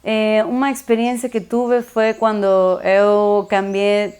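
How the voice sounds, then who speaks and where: Uma jovem adulta do Equador, nativa em espanhol, dá seu testemunho num português hesitante com sotaque equatoriano, misturando palavras espanholas. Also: female voice, medium pitch, little pitch movement, a little mellow. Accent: Ecuadorian Spanish. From Ecuador. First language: Spanish. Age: young adult. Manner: halting, mixes Spanish words into Portuguese. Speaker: resident sharing her testimony. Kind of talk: personal testimony.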